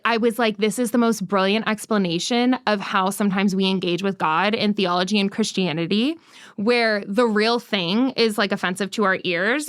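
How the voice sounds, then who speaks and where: A young woman talks easily on a podcast, her voice smooth and clear and her pitch sitting in the middle. She fills her sentences with casual "like"s as she leads the talk. The sound is close and dry, with no room behind her. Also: a bit bright, no room sound. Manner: chatty, frequent "like" fillers, leads the questions. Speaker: podcast co-host. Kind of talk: conversational podcast chat.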